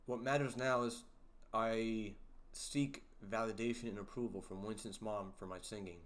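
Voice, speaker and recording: A young man hosting a casual livestream, reading chat aloud and joking around, with a deep voice. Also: steady tone, moderate pace, a bit bright, a little hiss or hum. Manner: playful, jokey, sings snippets and reads chat aloud. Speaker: livestream host.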